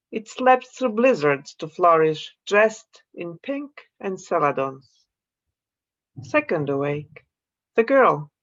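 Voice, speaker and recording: A woman from Poland with a Polish accent, reading her poems slowly and introducing them in a measured way on a video call from her home. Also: lowish voice, fairly bright tone, dull audio. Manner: slow, measured reading of verse. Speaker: poet. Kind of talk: poetry reading with spoken introductions. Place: room at home.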